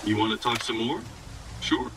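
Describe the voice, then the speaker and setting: An actor playing a crude, mocking mercenary who is itching for trouble in a video game. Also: male voice, fairly low pitch, big pitch swings, moderate pace, recorded from a distance, noisy background.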